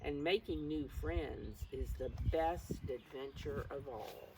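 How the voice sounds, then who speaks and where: A woman's voice: sits low, takes it slowly. A mature woman reading a picture book to preschoolers as their teacher, explaining it simply and encouragingly as she speaks directly to them. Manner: encouraging, addresses young children directly. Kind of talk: children's read-aloud with simple explanations. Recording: distant mic with faint background noise.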